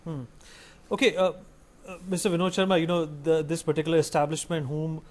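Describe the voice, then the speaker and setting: A man's voice: a little high, steady tone, a little fast, darkish tone. A man with an Indian accent hosting a panel discussion, putting questions and dropping in short listening hums and interjections. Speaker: host.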